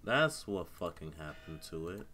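A man's voice: sits low, big pitch swings, a little slow, bright, forceful tone, faint music behind. A young man streaming a game on a headset mic, muttering and swearing as he plays, congested from a cold and a sore throat. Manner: laid-back, mutters to himself, swears often. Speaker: gaming streamer. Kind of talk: casual live gameplay commentary. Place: home room at a computer.

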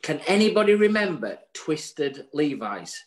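Man in his forties, chatty co-host on a webcam, fairly high voice, jokey. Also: big pitch swings, a little slow. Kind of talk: casual chat show banter. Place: home room with virtual bar backdrop. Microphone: video call webcam.

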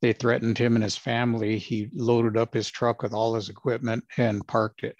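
A man in his sixties, a retired ranger, telling his stories in a measured, plain-spoken way with many fillers. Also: mid-range voice, little pitch movement, dry recording, muffled recording. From Los Angeles, United States. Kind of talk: casual interview storytelling.

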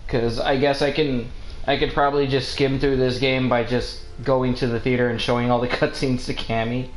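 A man's voice: mid-range voice, flat delivery, moderate pace, slight background noise. A man streaming a video game, chatting casually as he plays. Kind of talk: casual live-stream chat while gaming. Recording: roomy room sound, game music behind. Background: video game music.

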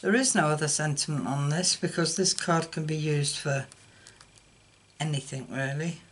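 A woman's voice: low voice, moderate pace, slightly rough. An older woman chatting through a card-making tutorial in a casual, self-deprecating way. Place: indoor craft table. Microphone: camera over a desk, hands only.

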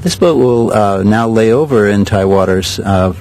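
An older man directing a sailing school, answering interview questions in a measured way with many pauses. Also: fairly low pitch, a little mellow, slight background noise. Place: outdoors at a regatta.

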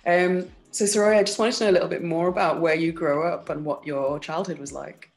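A woman's voice: on the low side, steady tone, fairly quick, vocal fry. A young adult woman interviewing a guest over a video call from her home, asking long, winding questions. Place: home room. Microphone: video call webcam.

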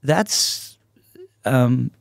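A man in his sixties talks in an unhurried, halting way, as if working out each thought. His voice is on the low side and recorded dry and close at a studio microphone. The style is casual, reflective podcast conversation. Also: expressive, creaky, dry recording. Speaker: podcast guest, artist and songwriter. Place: small podcast studio with curtains. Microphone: close podcast microphone on a stand.

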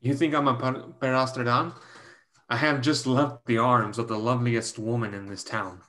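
A man's voice: medium pitch, steady tone, normal speed, sharp tone, lightly acted. A man playing an insinuating, flirtatious suitor, coaxing and needling in stage dialogue. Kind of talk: dramatic dialogue in a play reading. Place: indoors at home on a video call.

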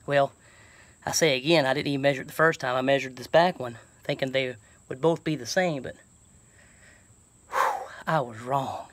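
A man's voice: medium pitch, normal speed, long pauses, fairly bright tone, no echo. A middle-aged man with a Southern American accent, chatting casually and folksily as he thinks aloud through a DIY job for his vlog. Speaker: truck camping vlogger. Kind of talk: casual DIY chat to camera. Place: outdoors and inside a truck bed by an open garage. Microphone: handheld phone at arm's length.

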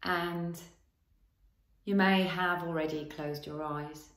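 A woman leading a guided body scan as a relaxation teacher, giving gentle instructions in short phrases with long pauses. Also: fairly low pitch, fairly even intonation, roomy sound.

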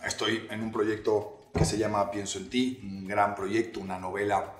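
A man's voice: on the low side, flat delivery, normal speed, roomy sound, soft background music. A young man presenting a recipe in a casual, playful cooking segment, talking straight to his viewers. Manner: upbeat, playful, addressing viewers directly.

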